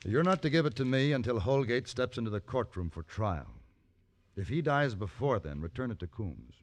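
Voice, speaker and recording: An actor playing a calm, principled gunfighter for hire in an old radio western, speaking in a measured, dry, quietly menacing way. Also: male voice, medium pitch, close to an everyday voice. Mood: calm, firm.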